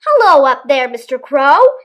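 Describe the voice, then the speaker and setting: A woman voicing a sly male fox for a children's storybook, with sugary, theatrical flattery. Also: sits high, measured pace, exaggerated voice. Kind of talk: children's storybook read-aloud.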